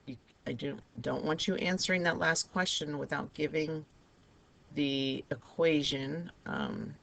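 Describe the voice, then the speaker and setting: A woman teaching a math lesson with a raspy, hoarse voice, talking students through each step of the problems. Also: deep voice, lively intonation, a little slow, a bit bright. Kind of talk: recorded math lesson over a digital whiteboard.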